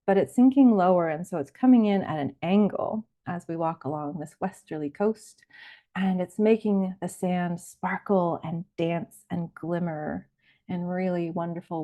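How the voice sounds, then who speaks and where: A woman leading a guided visualization as a relaxation guide, speaking in a soothing, unhurried way. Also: lowish voice. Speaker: laughter yoga leader, meditation guide. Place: live online or group session recording. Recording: band-limited, phone-like sound.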